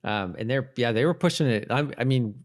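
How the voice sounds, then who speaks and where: A man's voice: mid-range voice, animated, fairly quick. A man co-hosting a podcast, chatting casually about a show, agreeable and often thinking aloud hesitantly. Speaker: podcast co-host. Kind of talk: casual TV episode recap chat.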